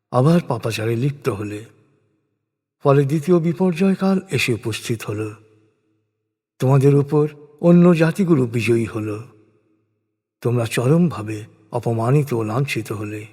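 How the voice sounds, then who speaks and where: Man, mid-pitched voice, solemn scripture narration, formal measured phrasing, long hall-like reverb. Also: darkish tone. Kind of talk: solemn scripted religious recitation in prose.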